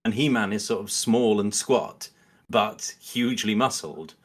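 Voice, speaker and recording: A man's voice: lowish voice, a little slow. A man speaking as a podcast guest in a casual, analytical discussion, often rephrasing himself as he thinks aloud.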